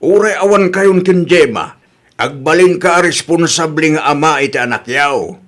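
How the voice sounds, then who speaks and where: A man's voice: fairly high pitch, unhurried, a bit bright. A man playing a kindly old father who gives fond, approving advice in a radio drama. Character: kindly old father, grateful and fondly advising his son. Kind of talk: comedy radio drama acting. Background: music.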